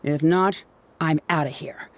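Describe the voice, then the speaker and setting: A woman's voice: deep voice, unhurried. An actress playing a proud, irritable young woman in a video game, haughty and brusque. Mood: irritated, dismissive.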